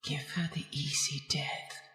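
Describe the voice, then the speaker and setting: A woman's voice: low voice, steady tone, measured pace, pushed and bright. Menacing female ghost for horror radio play. Theatrical, whispery, taunting, drenched in echo and long reverb over music. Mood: urging, taunting. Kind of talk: old-time radio horror drama acting. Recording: very echoey reverberant hall, music underneath.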